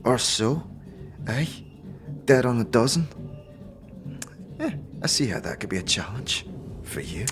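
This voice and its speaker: A woman's voice: very deep voice, sing-song, unhurried, pauses often, faint music behind, heavily acted. An actress playing a brash, scrappy female rogue with cocky, teasing street talk in a fantasy audio drama. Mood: cocky, goading.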